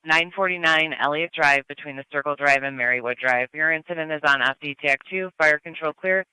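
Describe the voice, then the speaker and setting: Crisp, bright male fire radio dispatcher. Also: a little high, brisk, no room sound, low-quality recording. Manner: clipped, procedural, repeats details. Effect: two-way radio transmission. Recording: dry radio scanner feed.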